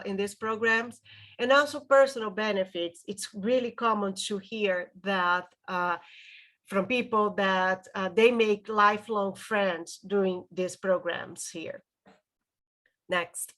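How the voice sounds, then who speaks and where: A woman's voice: medium pitch, fairly bright tone. A woman from Brazil with a Brazilian Portuguese accent, a legal writing instructor giving a webinar presentation, often hesitating and repeating words.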